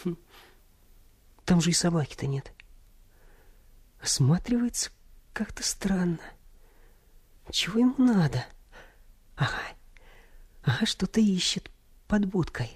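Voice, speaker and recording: Breathy, slow-spoken curious boy. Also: mid-range voice, sing-song, dry recording, full character voice. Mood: curious, furtive. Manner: hushed muttering to himself, hesitant. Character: curious, cautious young boy spying and wondering aloud. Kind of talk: radio play monologue.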